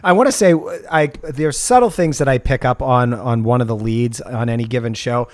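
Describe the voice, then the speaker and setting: A man's voice: medium pitch, normal speed. A man co-hosting a casual comedic TV recap podcast, talking in a blunt, wry way. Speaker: podcast co-host.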